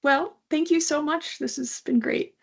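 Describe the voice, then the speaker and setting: A woman's voice: high voice, unhurried, creaky. A woman hosting an interview in a casual, chatty style, on slightly dull, lo-fi audio. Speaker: interview host.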